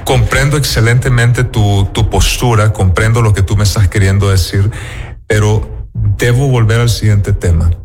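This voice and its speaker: A man's voice: lowish voice, normal speed, vocal fry, darkish tone, faint music behind. A man with a Salvadoran accent, an interview guest making assertive political arguments. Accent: Salvadoran Spanish.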